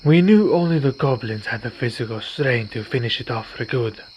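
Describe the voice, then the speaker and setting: A man playing a weary, courteous vampire in an ASMR roleplay, speaking in formal, measured phrases. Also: mid-range voice, expressive, darkish tone, close to an everyday voice. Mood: solemn recounting. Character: weary, courteous male vampire, wounded but calm. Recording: dull recording with night insect noise. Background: crickets and night insects.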